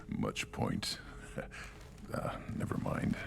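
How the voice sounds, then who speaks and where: An actor playing a huge young tank-bred alien warrior in a video game, blunt and brooding, with a deep gravelly growl. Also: male voice, drawn-out words, slight background noise. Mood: menacing, dismissive. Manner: blunt, short heavy statements. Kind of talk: scripted video game dialogue.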